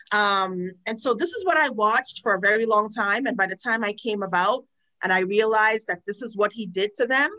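A woman's voice: mid-range voice, poor audio. Frank woman from Trinidad telling her story. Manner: frank, earnest storytelling with animated quoted asides. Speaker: guest, domestic violence advocate. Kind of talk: personal testimony in a podcast interview.

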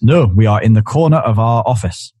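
A man's voice: lowish voice, a little fast, darkish tone, no room sound. A man co-hosting a podcast, easygoing and adding technical details, recorded on a studio mic in an office corner. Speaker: podcast co-host. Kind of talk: casual chat. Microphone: studio condenser mic on boom arm.

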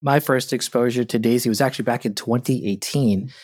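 A man's voice: mid-range voice, fairly quick, a little mellow. A man giving a friendly, anecdotal testimonial about a colleague. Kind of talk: casual recollection.

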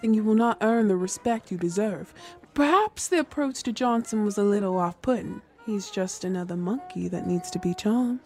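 A woman's voice: mid-range voice, normal speed, hardly any pauses, warm tone, soft background music, natural voice. An actress playing a loyal, tactful female assistant in a period radio drama, speaking in a proper, deferential, articulate way. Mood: composed, persuasive.

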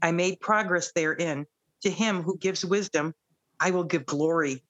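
A woman speaking earnestly in a fairly low voice. She tells her faith story in a relaxed, conversational way and sounds grateful throughout. When she reads scripture aloud, she slows down. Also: lo-fi sound. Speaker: guest, radio producer. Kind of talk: casual faith testimony.

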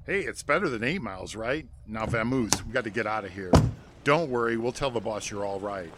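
A man's voice: fairly low pitch, expressive, fairly quick, close to an everyday voice. Man acting a brash wisecracking 1920s gangster, car noise. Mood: brisk. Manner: slangy, brash, teasing. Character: wisecracking short Chicago gangster, cynical and cocky. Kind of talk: 1920s period radio drama acting. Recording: clear car noise behind the voice.

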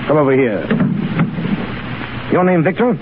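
An actor playing a gruff, easily amused Foreign Legion commandant in 1930s radio style, barking out clipped questions. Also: male voice, on the high side, big pitch swings, measured pace, narrow, tinny audio. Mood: brusque. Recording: thin, noisy 1930s radio recording.

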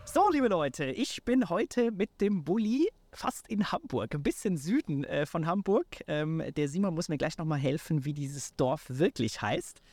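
A man's voice: a little high, lively intonation, a little slow, hardly any pauses, darkish tone. Upbeat male podcast host in a van. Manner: upbeat and chummy, addresses listeners directly. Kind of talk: casual podcast interview. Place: inside a camper van.

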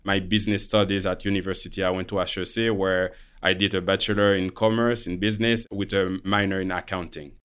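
A man's voice: low-pitched, little pitch movement, a little fast, hardly any pauses, fairly bright tone, clean tone. A man from Haiti with a Haitian French accent, a native speaker of Creole and French, explaining things conversationally as a podcast co-host. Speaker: podcast co-host, portfolio manager. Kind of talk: conversational interview, explaining career.

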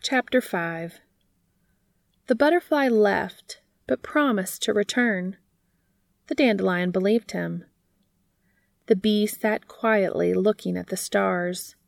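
A woman reading a story aloud in gentle, unhurried scripted narration. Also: mid-range voice, vocal fry, dry recording, clean studio sound, soft background music.

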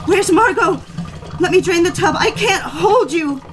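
An actress playing a frantic, devoted young secretary in an audio drama, pleading in halting, breathless phrases. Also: female voice, very high voice, lively intonation, background noise. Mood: panicked, pleading.

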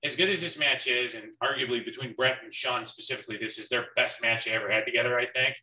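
A man's voice: mid-range voice, quick pace, bright, forceful tone. A man in his early thirties chatting casually as a podcast co-host during a wrestling watch-along. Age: early 30s. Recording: echoey room, distant from mic.

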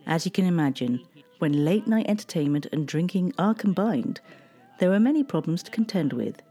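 A woman, reading a scripted local history narration in a measured way. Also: lowish voice, mellow. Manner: measured, reads dates and figures.

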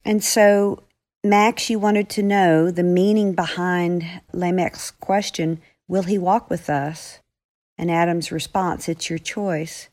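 Woman, lower-pitched, devotional commentary, earnest, reflective, teacherly. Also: fairly even intonation, takes it slowly, a little mellow. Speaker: author and commentator. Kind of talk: devotional explanation.

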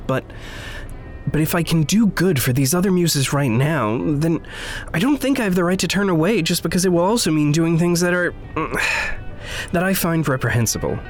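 A man acting as an earnest, stubborn idealist in a naturalistic audio drama. His voice sits fairly high and moves in lively swings, with careful formal wording and emphatic halts, over faint music. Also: natural voice. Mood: resolute, strained. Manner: precise, formal wording, halting emphatic phrasing.

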